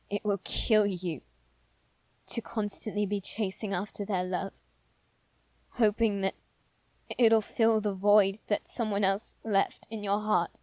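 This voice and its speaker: Woman acting as anxious boy aristocrat, formal wording, halting slow pace, dramatic pauses, roleplay audio drama, very dry sound. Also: medium pitch, a little mellow, no echo, close to an everyday voice. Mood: pained, bitter. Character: anxious aristocratic boy lord bound to demons. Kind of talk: scripted ASMR roleplay addressed to the listener.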